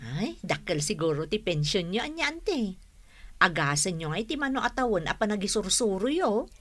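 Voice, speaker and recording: An actress playing a thrifty, chatty, cheerful married woman in an Ilocano radio drama, expressive and teasing. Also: medium pitch, moderate pace, no room sound. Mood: friendly.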